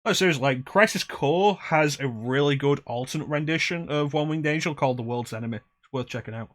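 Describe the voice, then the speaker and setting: A man's voice: fairly high pitch, brisk, fairly bright tone. Rambling, self-deprecating male streamer. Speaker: streamer host. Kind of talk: casual chat while gaming.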